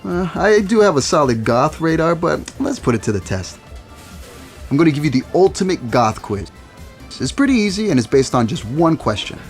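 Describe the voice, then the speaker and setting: A man's voice: fairly high pitch, very animated, normal speed. A man playing a young record store clerk who loves goth music, with a playful, teasing way of talking. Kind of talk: audio drama acting.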